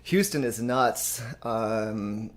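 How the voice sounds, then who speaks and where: A man's voice: lowish voice, extremely slow. A man from Texas hosting a live stream chat, talking casually with frequent "um" fillers. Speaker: host.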